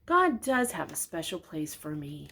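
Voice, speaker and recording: A woman voicing a timid, trusting young male lamb in a children's storybook, hushed and childlike. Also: low voice, big pitch swings, measured pace, vocal fry, husky, exaggerated voice. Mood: quietly glad.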